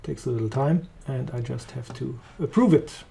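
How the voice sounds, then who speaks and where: A man with a German accent walking through a software demo, talking casually as he clicks along. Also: mid-range voice, moderate pace, creaky. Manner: relaxed, thinking aloud while clicking. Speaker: software trainer. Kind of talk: screen-recorded software demo.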